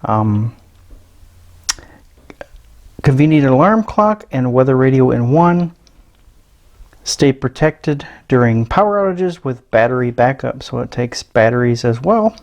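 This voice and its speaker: A middle-aged American man giving a casual, unscripted product walkthrough in a low-key, hesitant way. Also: expressive, a little slow, pauses often, a little mellow. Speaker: gadget reviewer. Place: small indoor room at a tabletop. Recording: clear background noise with clicks.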